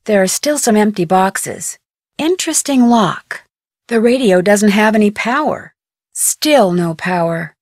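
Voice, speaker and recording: A plucky teenage girl detective from a video game. She thinks aloud with curiosity and wry humor, in a mid-pitched voice. The recording is very dry and close. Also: lively intonation, a little slow, a little mellow, dry recording. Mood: dry, musing. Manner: wry, curious, self-talking. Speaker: voice actor of a video game heroine.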